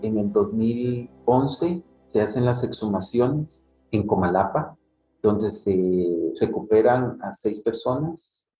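Male interviewee, dark tone, matter-of-fact; dull lo-fi recording with music. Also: on the low side, echoey room. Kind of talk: explanatory interview.

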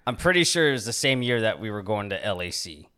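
Laid-back young guy with a bright voice. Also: on the low side, very animated, quick pace, clean tone. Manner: laid-back, laughs often, says "dude". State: throat getting raspy. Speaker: podcast co-host. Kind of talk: casual chat.

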